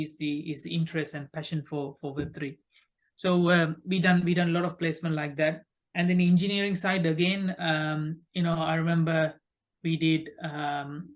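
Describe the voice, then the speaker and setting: A man from Sri Lanka answers interview questions conversationally, often halting and repeating words mid-phrase. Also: fairly high pitch. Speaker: podcast guest, recruitment company founder.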